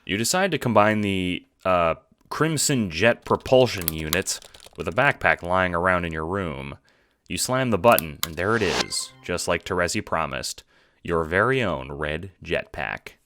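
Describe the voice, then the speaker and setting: A man's voice: low voice, lively intonation, a little slow, pushed and bright, slight background noise. Man, narrator, scripted second-person storytelling, audio drama.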